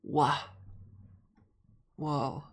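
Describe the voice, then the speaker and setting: A low, gravelly young woman chats casually with her stream, her voice a little snuffly. She rambles and restarts her sentences as she goes, sometimes dropping to a soft breathy hush. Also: little pitch movement, very slow.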